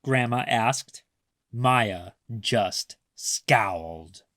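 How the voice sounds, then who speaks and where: A storyteller reading a picture book aloud for young children, expressively and deliberately, stressing words. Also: medium pitch, fairly bright tone, no room sound, clean studio sound. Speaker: children's read-aloud narrator.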